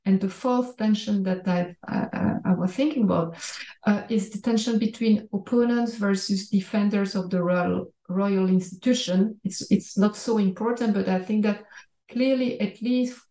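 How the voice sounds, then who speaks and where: A middle-aged woman speaks as an academic guest, laying out her points step by step with halts and emphatic repeats. Her voice is mellow and sits at an average pitch, in a roomy, echoing space. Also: moderate pace. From Belgium. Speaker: academic researcher, interview guest. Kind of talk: explanatory interview answer. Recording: roomy, echoey sound.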